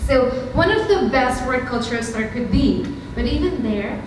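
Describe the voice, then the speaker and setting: A woman's voice: high voice, expressive, unhurried. A young woman from India with an Indian accent, giving a candid, conversational talk to a live audience with frequent ums. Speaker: startup founder giving a talk. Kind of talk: storytelling lightning talk. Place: event hall with audience. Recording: very echoey hall from far off, noisy.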